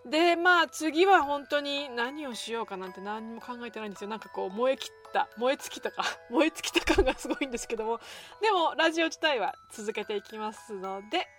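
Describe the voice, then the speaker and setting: A woman with a fairly high voice hosts a podcast over soft music. She chats to her listeners in a very polite, effusive and self-effacing manner. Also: lively intonation, fairly quick. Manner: polite, effusively apologetic and thankful. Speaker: podcast host. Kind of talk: chatty show outro to listeners.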